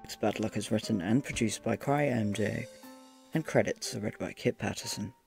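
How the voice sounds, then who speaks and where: A man reading scripted podcast end credits and a joke tip in a deadpan manner. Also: on the low side, moderate pace, gravelly, faint music behind.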